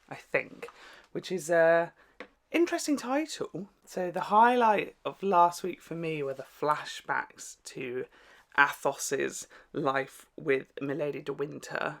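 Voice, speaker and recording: A man's voice: a little high, creaky. A young man giving casual, enthusiastic reaction commentary as host, recorded in a small bedroom. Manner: enthusiastic, gushing. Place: small bedroom, windows shut.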